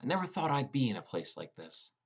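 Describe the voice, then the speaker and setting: A man's voice: medium pitch, very animated, fairly quick, breathy edge. A man reading scripted first-person fantasy fiction as an audiobook narrator.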